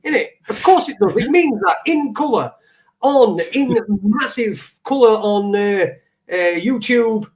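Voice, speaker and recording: High-voiced rambling Sheffield man. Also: lively intonation, unhurried. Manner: rambling, chatty, swears casually. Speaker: co-host. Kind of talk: casual live-stream chat.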